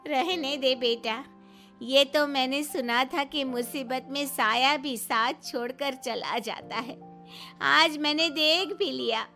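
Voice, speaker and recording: Casting: woman as middle-aged aunt for a story, bright forceful tone, hurt, reproachful, light music underneath. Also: sits high, takes it slowly, heavily acted. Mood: bitter, reproachful. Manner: bitter, reproachful. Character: offended middle-aged female relative, wounded and reproachful. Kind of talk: dramatised Hindi audio story.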